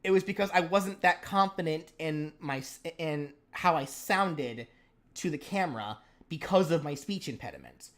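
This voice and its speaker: A man's voice: a little high, normal speed. A man hosting a casual live stream, talking with a stutter, repeating words and restarting his sentences. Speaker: stream host and moveset creator.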